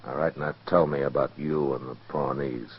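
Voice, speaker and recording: An actor playing a stern, hard-nosed U.S. Marshal in a 1950s radio western, with an American accent and a terse, commanding manner. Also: male voice, rumbling low, fairly even intonation, moderate pace, slightly rough. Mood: hard, unyielding. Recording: dull, muffled 1950s radio recording.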